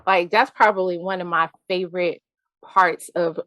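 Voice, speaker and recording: A woman hosting a podcast interview in casual chat, bubbly and quick to laugh. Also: fairly low pitch, steady tone, fairly bright tone, dry recording. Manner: bubbly, laughs often, addresses listeners as y'all. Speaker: podcast host.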